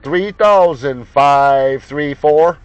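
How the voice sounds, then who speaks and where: A man's voice: fairly high pitch, very slow, clean tone, dry recording. A man working a CB radio as operator, repeating call signs in slangy, folksy, joking banter. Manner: repetitive, jocular, folksy banter. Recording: muffled lo-fi sound with loud radio noise, clicks. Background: CB radio noise and clicks.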